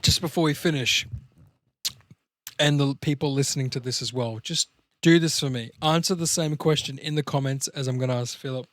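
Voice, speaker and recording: A man's voice: mid-range voice, fairly quick, dry recording. A man co-hosting a podcast in casual conversation, blunt and jokey, with some swearing. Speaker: podcast co-host. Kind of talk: casual chat.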